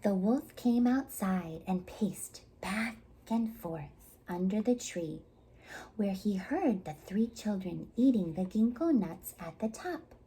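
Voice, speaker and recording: A woman reading a children's story aloud expressively, with measured dramatic pauses. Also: mid-range voice, lively intonation, dark tone, slight background noise, poor audio. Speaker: storybook reader at a community library.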